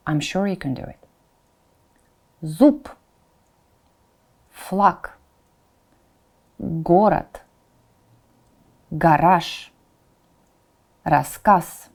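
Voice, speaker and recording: A woman with a fairly low, soft-edged voice teaches pronunciation at an unhurried pace. Her intonation moves around a lot as she explains things to learners. She is encouraging and sounds out each example deliberately. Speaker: Russian language teacher. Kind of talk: instructional pronunciation lesson, speaking to learners.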